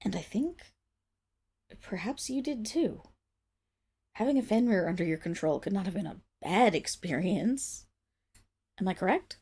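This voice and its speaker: A young woman playing a proud, flustered noble Fenrir monstergirl in an ASMR roleplay, speaking formally with stammers and breathy, whispered dips. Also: medium pitch, expressive, a little slow, long pauses, a little mellow. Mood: yearning. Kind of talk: ASMR roleplay acting, addressed to the listener.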